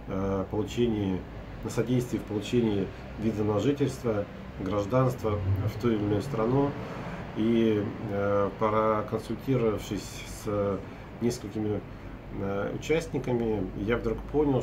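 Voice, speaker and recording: A man in his forties from Russia talks into a close laptop camera in a hotel room at night. His voice sits fairly low and comes in stops and starts, padded with filler sounds. A steady air-conditioner hum runs clearly underneath. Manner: hesitant, many filler sounds and restarts. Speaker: property broker. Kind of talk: casual recap to camera.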